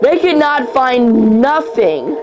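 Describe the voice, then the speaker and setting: An amateur narrator reading a horror story aloud in a halting way, tripping over words and repeating phrases. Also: sits high, sing-song. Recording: music under the voice. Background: music.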